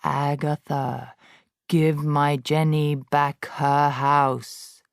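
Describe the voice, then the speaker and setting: A woman voicing the ghost of a man, speaking slowly and menacingly. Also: level tone, vocal fry, no echo, studio quality, heavily acted. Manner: slow, low, menacing.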